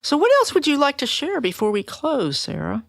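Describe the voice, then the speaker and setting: A woman's voice: high-pitched, big pitch swings, moderate pace, vocal fry, dry recording, studio quality. A woman hosting a podcast interview in a casual, plainspoken, informal way.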